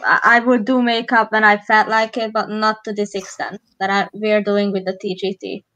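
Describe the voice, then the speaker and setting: A woman's voice: mid-range voice, level tone, a little fast, pushed and bright, poor audio. A woman with a Hungarian accent, a live stream co-host chatting casually while doing makeup, rambling with frequent restarts.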